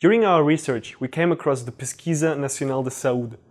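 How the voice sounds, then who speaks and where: A man in his twenties from Germany, a master's student giving a clear, methodical scripted presentation. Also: mid-range voice, fairly quick, a little mellow. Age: 20s.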